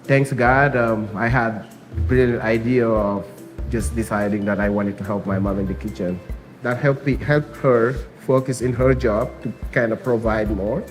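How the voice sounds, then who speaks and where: A man from Senegal with a Senegalese accent, Wolof his first language, a restaurant owner and chef talking calmly about his food and life. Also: on the low side, moderate pace, soft background music. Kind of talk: documentary interview, explaining his food.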